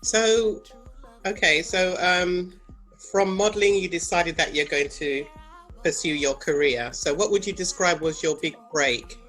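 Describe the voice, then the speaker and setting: A woman interviewer asking casual questions in a relaxed, hesitant way. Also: on the low side, measured pace, faint music behind, poor audio.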